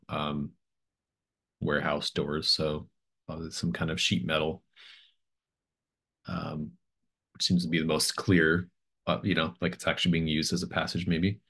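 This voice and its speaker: A middle-aged man improvising narration as game master, thinking aloud in halting phrases. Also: rumbling low, fairly even intonation. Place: home room with bookshelves, video call.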